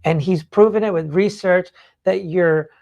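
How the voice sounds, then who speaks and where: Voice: medium pitch, fairly even intonation, a little slow, creaky, a little mellow. A podcast host talking casually about a book, informal and sweary, hammering points home by repeating phrases. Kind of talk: casual live book discussion.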